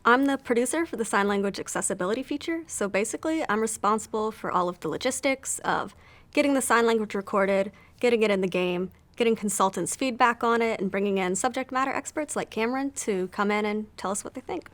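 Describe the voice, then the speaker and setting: A woman producer answers interview questions in a clear, organized way. She explains her work step by step. Her pitch sits in a middle range. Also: quick pace. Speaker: game producer.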